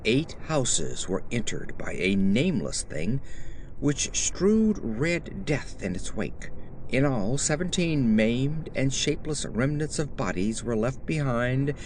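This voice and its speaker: Male audiobook narrator, mid pitch, very varied intonation, dramatic gothic horror storytelling, slight background noise. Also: big pitch swings, slow pace.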